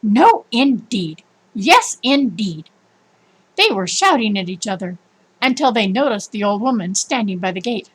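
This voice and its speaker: A woman narrating a children's story in an expressive, emphatic storytelling manner. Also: medium pitch, a little fast, husky. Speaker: storybook reader.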